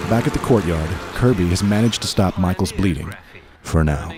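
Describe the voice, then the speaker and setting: A man's voice: sits low, normal speed, a crowd in the background, close to an everyday voice. A man reading scripted narration for a post-apocalyptic radio drama. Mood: narrating. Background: music.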